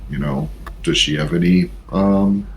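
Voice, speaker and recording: Low-voiced man playing a tabletop game. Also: measured pace, slight background noise, low-quality recording. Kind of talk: casual game chat.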